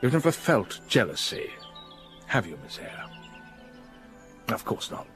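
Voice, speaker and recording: A brooding, sardonic gentleman, commanding, mid-pitched, over soft music, radio play. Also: sing-song, measured pace. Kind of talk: radio drama acting.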